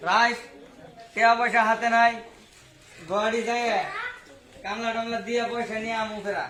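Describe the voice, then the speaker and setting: Very high voice, voice-changer effect, man as blustering village politician, comic skit, halting bombast, echoey room. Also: fairly even intonation, fairly bright tone. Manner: halting, bombastic, garbled broken English mixed in. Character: bumbling, blustering male village politician promising favours. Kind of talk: amateur comic skit speech. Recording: echoey, distant pickup with clear background noise.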